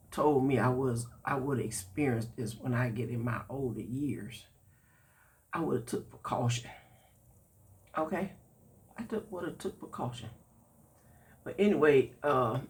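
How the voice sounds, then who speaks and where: A man, congested and a bit raspy, chatting folksily with viewers in a product-review vlog. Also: mid-range voice, a little slow, pauses often. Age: 60s.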